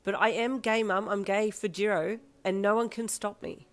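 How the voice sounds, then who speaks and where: Woman voicing a sulky teenage girl, deadpan, muttered, lowish voice. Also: normal speed, vocal fry. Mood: angry. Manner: flat and muttered, flaring into shouting.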